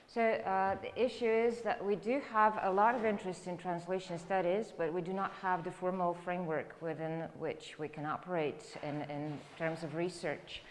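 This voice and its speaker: A middle-aged woman from Serbia with a Serbian accent, giving an academic lecture in a halting, explanatory way. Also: low voice. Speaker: university lecturer. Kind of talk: academic lecture, spoken freely. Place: indoor lecture room, standing by a lectern. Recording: echoey lecture room with background noise.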